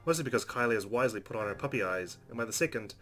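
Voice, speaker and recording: A man's voice: medium pitch, animated, racing, fairly bright tone. A man hosting a game playthrough, reading the story narration aloud expressively. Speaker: let's play host reading game narration. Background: game music.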